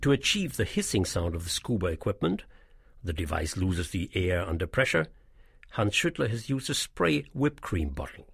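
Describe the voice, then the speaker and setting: A man reading a scripted documentary narration in a measured, explanatory way, as the guide of an audio tour. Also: low-pitched, sing-song, creaky, husky, no room sound, clean studio sound.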